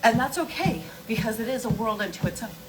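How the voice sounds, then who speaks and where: Emphatic woman chatting over loud music. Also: a little high, animated, fast. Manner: emphatic, repeats key points, uses rhetorical questions. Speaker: skincare and wellness livestream host. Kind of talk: casual explanatory livestream chat, answering viewer questions.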